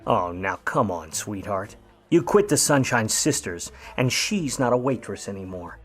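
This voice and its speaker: A man's voice: lowish voice, very animated, a little slow, husky, soft background music, full character voice. A man playing a grudging, wisecracking private eye, needling and slangy, in a noir radio play.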